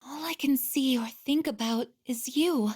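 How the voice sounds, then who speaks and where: A woman voicing a gentle, earnest female android in a video game, speaking softly and hesitantly with shy devotion. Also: high-pitched, slightly rough. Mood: earnest, tender. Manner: soft, hesitant, deliberate, tender. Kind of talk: video game voice acting, emotional dialogue.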